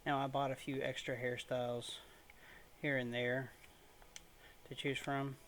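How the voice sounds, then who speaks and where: Flat, low-key man doing gaming commentary. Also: unhurried, slight background noise. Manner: low-key, keeping his volume down. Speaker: gaming video host. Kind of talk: casual let's play commentary. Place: room at home.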